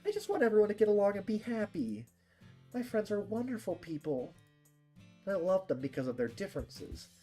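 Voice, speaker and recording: A woman's voice: mid-range voice, lively intonation, fairly quick, mellow, soft background music, pitched above the performer's own voice, lightly acted. A woman voicing a sweet, innocent teenage anime girl peacemaker in a visual novel, heartfelt and pleading. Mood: pleading, earnest.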